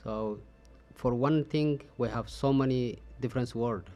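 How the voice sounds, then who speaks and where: A middle-aged man from Ethiopia who grew up speaking Oromo talks in an interview. He answers in short, halting phrases and often pauses on "uh". His voice sits at an ordinary male pitch, with light music underneath. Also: dark tone. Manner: halting, frequent "uh" fillers, simple phrasing. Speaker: interview guest.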